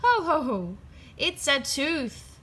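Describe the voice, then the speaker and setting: A jolly father pig from a storybook as a woman reader puts him on. He sounds hearty and bright, with big swings in pitch. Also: very animated, slow pace, full character voice. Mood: amused. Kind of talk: children's storybook read-aloud.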